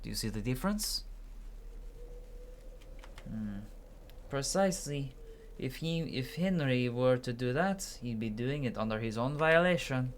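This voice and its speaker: Halting game read-aloud over clear background noise. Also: medium pitch, expressive. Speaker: gaming video narrator voicing characters. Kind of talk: reading video game dialogue aloud.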